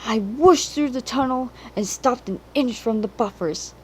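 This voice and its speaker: A woman voicing an excitable, boastful young male tank engine in a children's storybook reading. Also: fairly high pitch, measured pace, slightly rough, voice pushed up.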